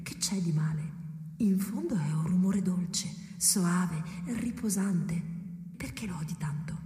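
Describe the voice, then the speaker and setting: An actress playing a detached, reproachful wife in a literary radio drama, ghostly and hushed, often half whispering. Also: female voice, mid-range voice, natural voice. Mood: hushed, probing. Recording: reverberant hall echo, noisy ambience.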